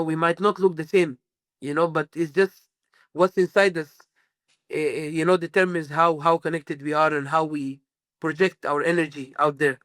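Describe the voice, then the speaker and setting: A man's voice: on the high side, a bit bright, dry recording. A man from Sudan, a musician guest in a casual interview, rambling with many fillers and restarts.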